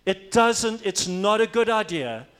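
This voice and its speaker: A man's voice: high-pitched, lively intonation, a little slow, a bit bright, smooth. A man from Africa, a pastor preaching a sermon, emphatic and repeating his key phrases for weight.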